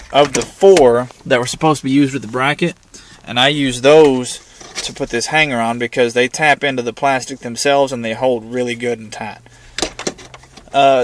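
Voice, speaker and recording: A man's voice: medium pitch, a bit bright, a little hiss or hum. A man giving a casual, hands-on tutorial in an informal, plain-spoken way, explaining things step by step. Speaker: hobbyist demonstrating a vehicle radio installation. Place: in and around a parked pickup truck.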